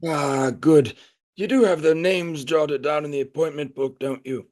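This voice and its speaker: An actor playing a pompous, nostalgic, middle-aged leader of a secretive group in an audio play, speaking in a grandiose, rambling way. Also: male voice, a little high, measured pace, a little mellow, close to an everyday voice. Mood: brisk, courteous.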